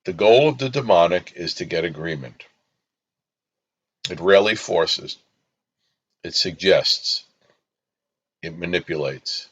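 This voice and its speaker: Deep-voiced man, solemn podcast teacher. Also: a bit bright, breathy edge, dull audio. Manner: solemn, deliberate, emphatic short phrases. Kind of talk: scripted spiritual teaching monologue.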